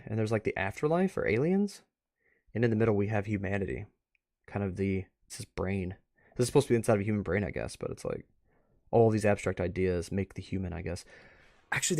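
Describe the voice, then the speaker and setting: A man's voice: fairly low pitch, a little mellow, no room sound, clean studio sound. A man giving casual, off-the-cuff commentary as a stream host, rambling and musing aloud. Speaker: host of a live art review stream.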